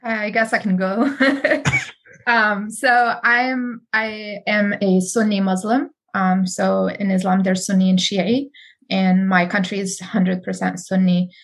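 A woman's voice: mid-range voice, flat delivery, takes it slowly. Chatty woman from Morocco. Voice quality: chatty, rambling with ums and laughs. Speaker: guest. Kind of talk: casual chat.

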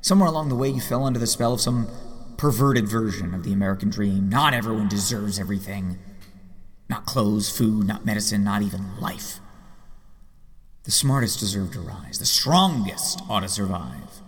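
A man's voice: lowish voice, normal speed, close to an everyday voice. An actor playing a rich, sardonic yet earnest man in his late thirties in an audio drama. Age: 30s. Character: rich, sardonic, earnest middle-aged male vigilante heir. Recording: long hall reverb, faint music behind.

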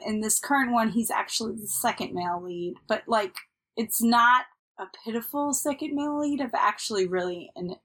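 An upbeat woman co-hosting a relaxed podcast, her voice sitting at an ordinary middle pitch. She rambles cheerfully, trailing off into fillers as she chats. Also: rough recording. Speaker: podcast co-host. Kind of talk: casual chat.

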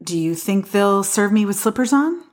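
A woman's voice: medium pitch, expressive, moderate pace, close to an everyday voice. An actress playing a weary, guarded woman who has given up on love, speaking hesitantly in a naturalistic audio drama style. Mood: softening, tentative. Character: weary, disillusioned woman who stopped believing in soulmates.

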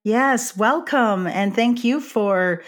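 A woman hosting a podcast, warmly introducing and questioning her guest. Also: on the high side, takes it slowly. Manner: warm, effusive introductions. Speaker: podcast host. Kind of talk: interview hosting.

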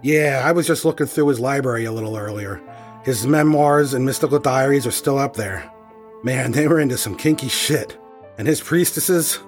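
A man's voice: medium pitch, moderate pace, faint music behind, lightly acted. A man playing a crude laid-back rocker dude. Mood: excited. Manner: slangy, excitable, crude.

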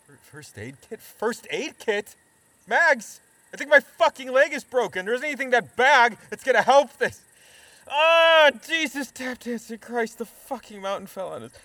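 A man's voice: extremely high pitch, lively intonation, moderate pace, bright, forceful tone, no room sound, heavily acted. An actor playing an anxious, sarcastic man on a hike gone wrong in an audio drama, sweary, stammering and exasperated. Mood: pained, panicked. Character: anxious, sarcastic male hiker in peril. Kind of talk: full-cast audio drama acting.

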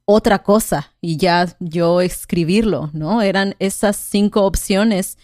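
A woman's voice: mid-range voice, slow pace, darkish tone, no room sound, clean studio sound. A woman from Mexico in casual conversation, talking thoughtfully and hesitantly, often ending with "¿no?". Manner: thoughtful, hesitant, with frequent "¿no?" tags.

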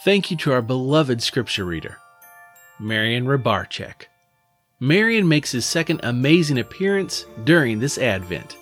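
A pastor with an Indiana accent giving a devotional address and blessing, speaking expressively. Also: male voice, medium pitch, big pitch swings, normal speed, a bit bright, soft background music.

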